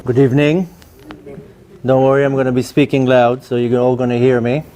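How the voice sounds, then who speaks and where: A man in his forties with an Israeli accent, a native Hebrew speaker, giving an informal, animated personal testimony as a religious lecturer. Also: mid-range voice, a little fast, slight background noise. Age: 40s.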